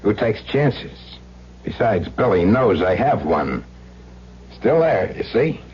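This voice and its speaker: A cold-blooded crook from an old radio thriller, very raspy and rough. He talks in sneering streetwise slang, and the recording is dull and lo-fi. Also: on the low side, big pitch swings, slow, exaggerated voice. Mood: mock friendly. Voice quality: husky, rough. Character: menacing crook, a cold, mocking tough guy. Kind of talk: old-time radio drama acting.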